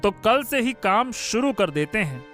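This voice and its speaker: A man's voice: high-pitched, big pitch swings, a little slow, pushed and bright, soft background music, heavily acted. A man playing an arrogant, sneering grown son who plots in a cartoon family drama. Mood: contemptuous. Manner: sneering, contemptuous. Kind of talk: Hindi cartoon moral-story dubbing.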